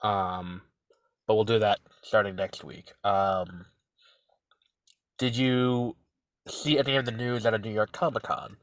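A man's voice: fairly low pitch, fairly even intonation, a little slow, long pauses, dry recording. A man with a stuffy, nasal voice from a cold, co-hosting a podcast in casual chat, with lots of fillers and restarts. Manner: rambling, hesitant, many fillers and restarts. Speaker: podcast co-host.

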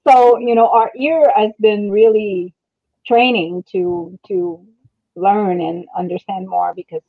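A middle-aged woman chatting casually in an animated, storytelling way, with thin phone-like audio. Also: mid-range voice, takes it slowly, no echo. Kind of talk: casual chat.

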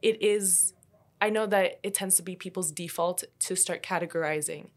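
Young female podcast host from Colombia, lower pitch, reflective and measured. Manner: thoughtful, measured, frequent "you know". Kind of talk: casual podcast conversation.

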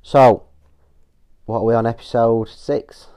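A man, croaky from a cold, chatting dryly on a family podcast as co-host. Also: mid-range voice, steady tone, a little slow, mellow. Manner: dry, joking. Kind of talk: casual chat. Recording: dull lo-fi podcast audio.

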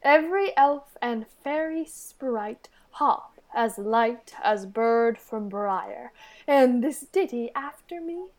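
Woman as high-voiced, stately fairy ruler. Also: lively intonation, takes it slowly. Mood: serene, commanding. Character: regal fairy ruler, serene, blessing the house. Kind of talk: incantatory verse in a radio play.

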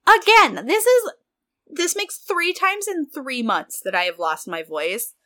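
A woman with a fairly high, bright voice talks as a podcast co-host. Her pitch moves in lively swings as she banters casually, with a self-deprecating sense of humour. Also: unhurried.

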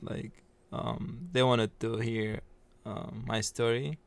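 A man from Iraq, not a native English speaker, talks as a podcast guest. His delivery is slow and casual, with a gravelly creak running through it. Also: medium pitch, little pitch movement, no room sound. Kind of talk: casual personal storytelling.

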